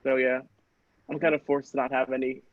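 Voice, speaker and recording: A man's voice: mid-range voice, little pitch movement, fairly quick, no echo. A man casually telling a personal story in a rambling, hesitant, self-questioning way. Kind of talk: casual personal anecdote chat. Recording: dull, dry, lo-fi audio.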